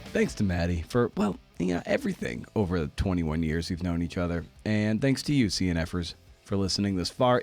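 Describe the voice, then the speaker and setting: A man's voice: fairly low pitch, darkish tone. A middle-aged man hosting a podcast in a casual style, with a wry, self-deprecating manner. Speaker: podcast host. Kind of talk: casual podcast monologue and interview.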